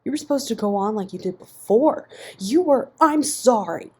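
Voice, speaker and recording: A man's voice: very high voice, animated, normal speed, slightly rough, voice pushed up, exaggerated voice. A woman voicing an angry, resentful, foul-mouthed man who snaps and swears in a narrated story. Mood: exasperated. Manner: snapping, profane, forceful.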